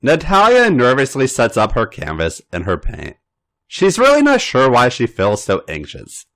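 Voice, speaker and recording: A man narrating a story aloud as a podcast host, with an expressive reading style. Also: mid-range voice, moderate pace.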